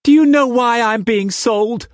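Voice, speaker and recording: A man voicing a distraught young woman of twenty who pleads in a high, shrill voice. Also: sing-song, takes it slowly, fairly bright tone, no room sound, studio quality, heavily acted. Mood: pleading, distraught.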